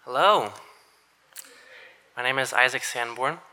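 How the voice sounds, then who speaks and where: A young man from Minnesota giving an earnest graduation speech to his church, with dry understated humor and frequent throat-clearing. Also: mid-range voice, measured pace, bright, forceful tone. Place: church hall with audience.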